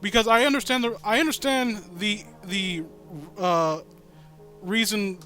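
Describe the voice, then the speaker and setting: A man's voice: high-pitched, fairly even intonation, measured pace, pushed and bright, soft background music. A man reading a story aloud with exasperated, incredulous, rambling and sweary commentary. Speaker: host reading and critiquing amateur horror fan fiction.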